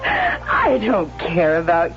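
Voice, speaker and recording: A woman's voice: fairly low pitch, wildly swooping pitch, slow pace, heavily acted. An actress playing a dismissive, haughty young woman in a 1970s radio drama. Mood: dismissive, irritated. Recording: muffled vintage radio recording with music.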